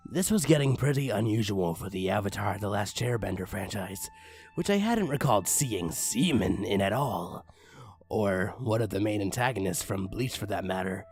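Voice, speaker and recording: A man's voice: lowish voice, darkish tone, slightly rough. A man giving a comedic, mock-dramatic creepypasta narration with crude, swooping emphasis. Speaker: narrator, online story reader.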